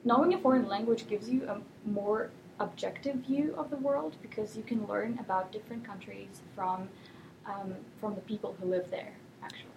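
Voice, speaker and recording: A young woman from Russia speaks English as a second language, her voice gravelly with a touch of fry. She answers thoughtfully, pausing often with fillers, over faint noise. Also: mid-range voice. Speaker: guest. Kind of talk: answering interview questions.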